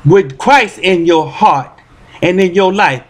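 A high, husky male voice preaching in a drawl, dropping "amen" between almost every line. He holds a handheld microphone close to his mouth in a living room at home. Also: takes it slowly. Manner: punctuates every phrase with "amen". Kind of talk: freestyle sermon.

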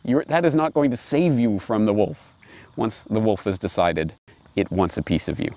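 A man in his forties talks reflectively in a fairly low voice with plenty of gravel. His intonation moves widely as he stresses his points deliberately. He is speaking outdoors into a lapel mic. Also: big pitch swings, warm tone. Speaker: host and commentator. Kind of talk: reflective commentary with read-aloud fables. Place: outdoors on grass by trees and water.

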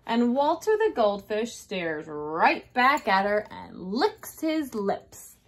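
A woman's voice: on the high side, big pitch swings, slow, a little hiss or hum. A woman in her thirties, a kindergarten teacher reading a story aloud and talking directly to young children with check-in questions. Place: small decorated indoor room.

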